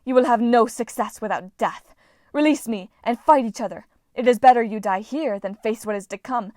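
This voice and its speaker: A woman voicing a furious, grandiose goddess of victory caught in a net, in a storybook reading, with shrill, booming proclamations. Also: moderate pace, dry recording, clean studio sound, close to an everyday voice. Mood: ominous.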